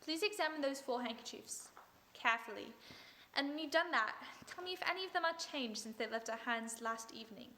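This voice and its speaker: A woman playing a calm, authoritative female detective in a radio play, speaking in a measured way. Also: sits high. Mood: composed. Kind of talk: 1940s radio acting.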